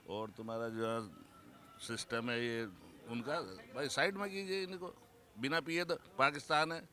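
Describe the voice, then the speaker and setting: A man's voice: mid-range voice, sharp tone. A man of sixty-five giving his opinion casually as a member of the public in the street. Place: outdoors on a street.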